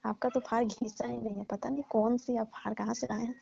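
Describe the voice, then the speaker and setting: A woman's voice: a little high, flat delivery, fairly quick, creaky, warm tone, a little hiss or hum. A woman chatting casually and explaining herself in a comic phone conversation. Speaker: caller playing a farm owner. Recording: dull lo-fi phone call recording.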